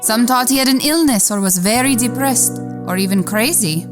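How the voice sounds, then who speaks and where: A woman playing a fond mother who recalls her son in expressive, storybook-like radio drama narration. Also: a little high, normal speed, natural voice. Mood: sorrowful. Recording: music behind the voice. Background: music.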